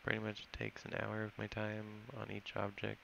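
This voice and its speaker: A low-pitched American man talks through his game project, thinking aloud, trailing off and correcting himself. His voice is heavily gravelly and stays flat. The recording is dull and lo-fi, with steady hiss behind him. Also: moderate pace. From California. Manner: rambling, self-correcting, thinking aloud while working. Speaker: game developer. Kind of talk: casual screen-recorded dev log.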